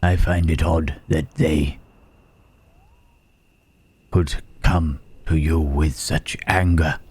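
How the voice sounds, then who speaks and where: Very deep, husky, slow monster. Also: male voice, little pitch movement, a little mellow, exaggerated voice. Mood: sorrowful, wondering. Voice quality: husky, breathy rasp. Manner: slow, halting, archaic formal phrasing with long pauses. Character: gentle, melancholy Frankenstein's monster, outcast male creature. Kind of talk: intimate ASMR roleplay monologue.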